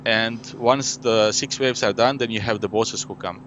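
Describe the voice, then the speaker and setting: Board game designer, male, French as first language, lowish voice, rambling explanatory chat, dull lo-fi audio with light hiss. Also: steady tone, moderate pace, a bit bright. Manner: explains at length, with many fillers and "you know". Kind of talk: casual interview conversation. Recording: dull lo-fi audio with faint noise.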